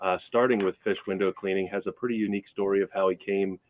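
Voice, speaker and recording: Low-voiced male host, thin phone-like sound. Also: fairly even intonation, quick pace, background noise. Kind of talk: casual interview chat.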